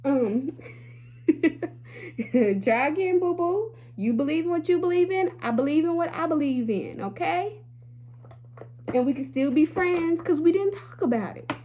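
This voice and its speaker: A woman's voice: sits high, expressive, measured pace, pauses often, dark tone, slight background noise. A woman in her late twenties talking candidly to her viewers in a casual vlog, chatty and sassy, calling them y'all. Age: 20s. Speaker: beauty vlogger.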